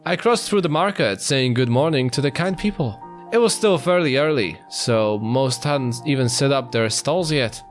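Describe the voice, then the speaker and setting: A man voicing a confident adult cougar narrating his life in a dramatic visual novel read-aloud, with measured storytelling. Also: medium pitch, animated, natural voice. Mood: reflective. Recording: faint guitar music behind.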